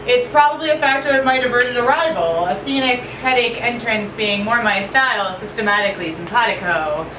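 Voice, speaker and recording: A woman poet giving an expressive live poetry reading at an evening event. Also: brisk. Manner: expressive, shifting pitch and pace for effect. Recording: roomy echo from far off with noise.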